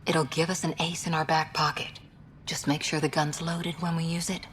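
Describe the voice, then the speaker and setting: A woman's voice: low-pitched, level tone, moderate pace, fairly bright tone, slight background noise. A woman playing a wry, tough alien adventurer in a video game, talking in short, dry, plain-spoken phrases. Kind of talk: naturalistic video game acting.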